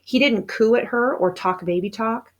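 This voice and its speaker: A woman reading a children's novel aloud as scripted narration, with expressive storytelling emphasis. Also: a little high, normal speed, muffled recording. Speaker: storybook reader.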